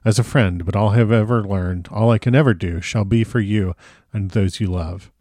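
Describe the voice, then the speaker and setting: A man's voice: fairly low pitch, moderate pace, mellow, no room sound, clean studio sound, natural voice. Man playing courtly, effusive old foreign professor. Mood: grateful, solemn. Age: older. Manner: formal, effusive, foreign-styled broken phrasing.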